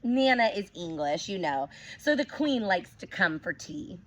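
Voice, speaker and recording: A woman teacher reading a picture book aloud to young children, expressive, stopping to ask them questions. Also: medium pitch, unhurried, rough recording.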